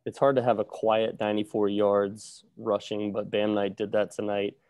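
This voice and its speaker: A young man reporting on sports asks his questions on a video call. The voice is soft-edged and mellow, moving little in pitch, with a dry sound. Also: flat delivery, normal speed, dry recording. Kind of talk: press conference questions.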